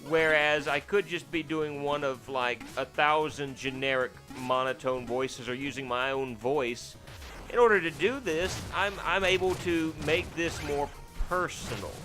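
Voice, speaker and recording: A man's voice: fairly even intonation, measured pace, pushed and bright. A man giving casual, rambling commentary over a game he is playing, full of fillers and restarts and with sudden startled yelps. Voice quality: fairly deep voice. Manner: rambling, filler-heavy, self-deprecating. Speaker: let's play host. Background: faint game music.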